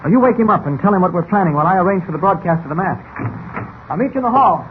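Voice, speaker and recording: An actor playing a calm, decisive newspaper reporter with brisk authority in an old radio serial. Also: male voice, sits high. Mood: determined. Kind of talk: 1940s radio serial acting. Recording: tinny, band-limited old radio with hiss.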